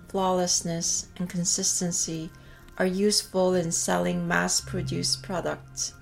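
Korean-born woman artist, middle-aged, measured and thoughtful, slightly low voice. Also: vocal fry. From South Korea. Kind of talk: reflective personal narration. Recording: faint music behind. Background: soft music.

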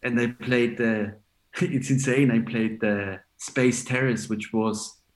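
A man of forty, raised in Switzerland, talking in a relaxed, rambling way as a DJ guest, with many fillers and restarts. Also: medium pitch, slow pace, echoey room. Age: 40s. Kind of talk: casual interview chat.

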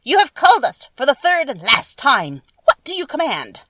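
A woman voicing the big, dutiful leader of a troop of winged monkeys in a storybook, declaiming loudly and formally. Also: high voice, wildly swooping pitch, normal speed, dry recording, clean studio sound, distant mic, voice pushed up, full character voice. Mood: dutiful, commanding. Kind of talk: storybook character voice.

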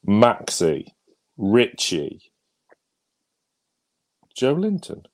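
A young man with a croaky, hoarse voice from a cold, co-hosting a casual podcast with hesitant speech full of ums. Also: on the low side, wildly swooping pitch, extremely slow, dry recording. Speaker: podcast co-host. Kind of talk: casual football podcast chat.

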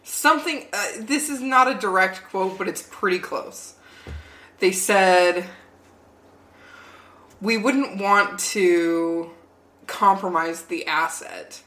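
A young woman co-hosting a podcast, telling a casual story in an animated way and laughing often. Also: medium pitch, takes it slowly, pauses often. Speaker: podcast co-host. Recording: roomy echo.

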